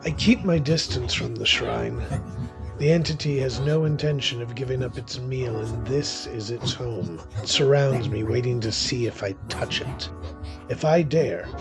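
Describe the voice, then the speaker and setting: A man's voice: mid-range voice, measured pace, gravelly, low-quality recording. A man playing a weary, cynical male witch, narrating a horror thriller audio drama in the first person in a wry, brooding, theatrical way. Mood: grim, brooding. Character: weary, cynical male witch hunting through a haunted house. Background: music behind the voice.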